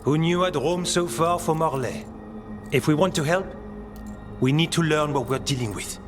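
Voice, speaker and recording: An actor playing an earnest, curious scholar-warrior in a video game, thoughtful and a little hesitant. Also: male voice, fairly high pitch, moderate pace, natural voice. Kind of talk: dramatic video game cutscene acting. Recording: clear music behind the voice.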